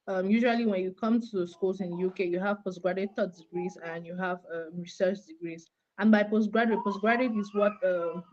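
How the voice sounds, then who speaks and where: A young woman from Cameroon, a graduate student, explaining things clearly and steadily as an interview guest over a call. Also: mid-range voice, highs cut off, a little hiss or hum.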